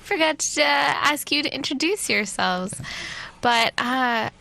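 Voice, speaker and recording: Unhurried, high-voiced woman radio host. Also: animated, creaky, sharp tone. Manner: informal, curious, enthusiastic. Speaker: college radio host interviewing guests. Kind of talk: casual radio interview chat. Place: college radio studio.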